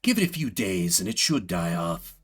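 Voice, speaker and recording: A man's voice: sits low, sing-song, normal speed, breathy edge, soft background music, pitched below the performer's own voice, heavily acted. A man voicing an aloof, irritable villainous creature from a video game, dry, curt and dismissive.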